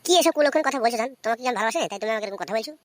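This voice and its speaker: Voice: mid-range voice, rapid-fire, no echo, natural voice. A performer voicing a lovestruck, lecherous young village man in a comic cartoon, wheedling and sweet-talking. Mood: eager, concerned. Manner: wheedling, cajoling. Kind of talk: comic Bengali cartoon voice acting.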